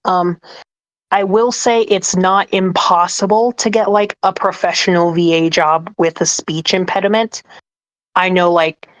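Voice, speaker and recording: A woman co-hosting a podcast, speaking in a measured, encouraging way with some fillers in a casual chat. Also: fairly low pitch, rough recording. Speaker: podcast co-host. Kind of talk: casual group chat on a call.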